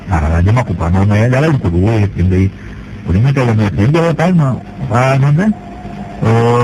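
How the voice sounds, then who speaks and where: A man narrating a traditional folk tale, jumping up in pitch when he voices the characters. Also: noisy background. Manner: lively storytelling, jumps in pitch for characters. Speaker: storyteller.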